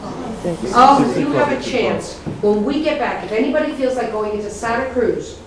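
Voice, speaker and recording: A woman's voice: a little high, moderate pace, noisy background. Chatty American woman guiding a tour. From Connecticut, USA. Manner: chatty, friendly, jokey. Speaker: tour guide. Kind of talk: guided tour commentary.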